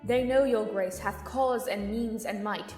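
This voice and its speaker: A woman's voice: medium pitch, unhurried, a little mellow, lightly acted. Earnest noble courtier played by a woman, mid-range, formal verse, echoing hall. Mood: loyal, urging. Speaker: amateur actor in student audio drama. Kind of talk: Shakespearean verse acting. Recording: reverberant hall, music underneath.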